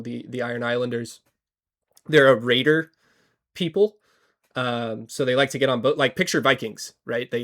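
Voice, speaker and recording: A man co-hosting a podcast, slightly hoarse from a cold, talking casually with lots of fillers and restarts. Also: medium pitch, a bit bright. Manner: rambling, many fillers and restarts. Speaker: podcast co-host. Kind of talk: casual history chat.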